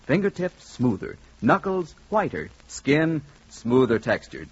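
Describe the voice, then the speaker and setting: A man reading a 1940s radio commercial as announcer, with emphatic, measured salesmanship. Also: medium pitch, a bit bright, slightly rough. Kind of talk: 1940s radio advertising read. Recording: muffled 1940s radio recording.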